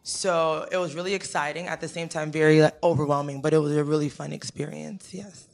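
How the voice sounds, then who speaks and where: A woman's voice: deep voice, fairly even intonation, a little fast. Young woman singer talking casually. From Ghana, raised in the United States. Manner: easygoing, many fillers like "you know" and "um". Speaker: singer interviewee. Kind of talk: casual interview answers. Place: studio with a teal backdrop. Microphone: handheld mic close to the mouth.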